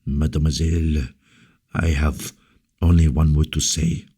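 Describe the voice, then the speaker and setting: A man's voice: rumbling low, little pitch movement, measured pace, dark tone, husky, heavily acted. A man reading a novel aloud, voicing a cautious royal minister who speaks in a subdued, hesitant way. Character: scheming older male royal minister, cautious. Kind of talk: audiobook character reading.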